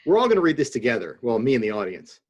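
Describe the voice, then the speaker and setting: A man's voice: medium pitch, very animated, racing. A man co-hosting a casual chat over a video call, joking and playful. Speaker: co-host.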